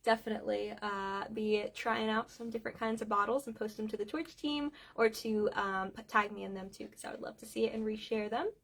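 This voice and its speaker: A woman's voice: mid-range voice, steady tone, brisk, creaky, few pauses, a bit bright. A woman hosting a cake decorating livestream, chatting casually with lots of ums while she is losing her voice. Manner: chatty, many ums, reads out viewer comments.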